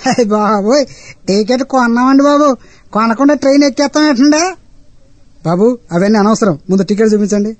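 An actor playing a harried train ticket collector, exasperated and pleading with passengers. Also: male voice, extremely high pitch, fairly quick, no echo. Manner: exasperated, pleading, formal address.

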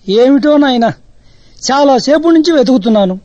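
An actor playing a gentle, forgetful old man who speaks slowly and mildly, always bewildered. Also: extremely high pitch, expressive, dry recording, highs cut off. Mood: muddled. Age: older. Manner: slow, mild, bewildered.

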